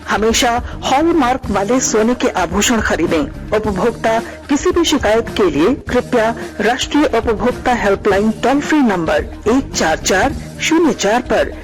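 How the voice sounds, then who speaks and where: A man's voice: very high voice, rough recording. A man reading scripted public-service announcements on the radio as an announcer.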